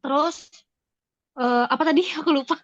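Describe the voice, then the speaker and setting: A woman's voice: sits high, steady tone, normal speed, dry recording. An Indonesian woman chatting casually as a guest on a podcast. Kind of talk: casual chat. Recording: slightly dull, dry close sound.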